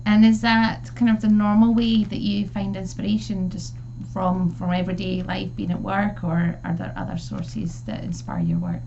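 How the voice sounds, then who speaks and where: A woman's voice: medium pitch, little pitch movement, normal speed, creaky, hardly any pauses, mellow. A woman hosting an interview show, asking friendly questions with some fillers. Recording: dull, slightly noisy line.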